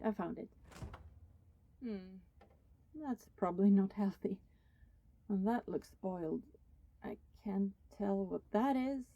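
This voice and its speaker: A soft-spoken female vampire neighbor, acted by a woman in an intimate ASMR roleplay, friendly and fussing but keeping secrets. The voice is mellow and breathy with plenty of fry, often sinking toward a whisper, and the recording is very dry and close. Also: mid-range voice, no room sound. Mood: fussing, caring.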